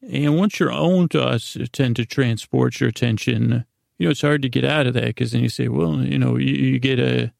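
Male sleep-podcast host, very heavy vocal fry, mid-range pitch, halting and meandering, recorded close and dry. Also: darkish tone, no room sound. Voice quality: gravelly, creaky. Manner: meandering, halting, tangent-prone. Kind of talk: rambling bedtime monologue.

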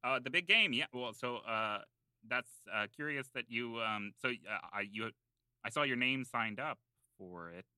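A man co-hosting a comedy podcast in improvised, in-character banter, speaking in a halting, rambling, mock-earnest way. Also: mid-range voice, lively intonation, pushed and bright, smooth, no echo. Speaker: podcast co-host.

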